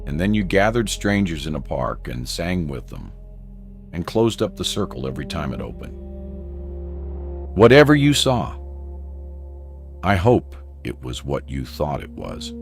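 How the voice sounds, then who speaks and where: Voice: a bass voice, very animated, a little slow, gravelly, pauses often, faint music behind, exaggerated voice. A man playing a weary, tender, wry radio host who broadcasts farewells during the apocalypse. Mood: grieving reverence. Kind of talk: scripted radio drama broadcast.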